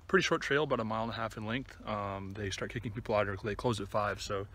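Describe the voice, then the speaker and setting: A man in his thirties chatting casually and a bit haltingly to the camera as he walks a trail outdoors. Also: lowish voice, a bit bright. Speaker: hiking vlogger. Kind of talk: casual vlog chat to camera while walking. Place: outdoors on a wooded trail and open meadow. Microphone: phone or camera at arm's length. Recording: outdoor recording with clear ambient noise.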